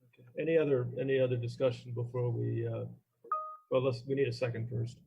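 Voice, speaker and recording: A man chairing a formal meeting in procedural language, phrasing things in a halting way. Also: medium pitch, little pitch movement, creaky, dark tone, slightly rough, soft background music. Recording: dull lo-fi online meeting audio.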